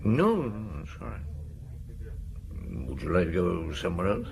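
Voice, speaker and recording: An actor playing a patient police chief inspector in an audio drama, asking measured, probing questions. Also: male voice, sits low, big pitch swings, vocal fry, a little mellow, heavily acted. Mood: polite. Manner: measured, probing, brief questions with hesitant hums. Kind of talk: radio drama dialogue.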